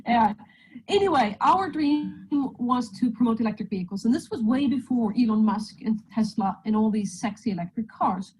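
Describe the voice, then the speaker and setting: A woman's voice: on the high side, animated, moderate pace, darkish tone, slight background noise, low-quality recording. A woman from Sweden with a Swedish accent, giving a friendly, joking presentation over a video call. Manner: friendly, joking, slides through a talk. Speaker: guest presenter. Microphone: video call microphone.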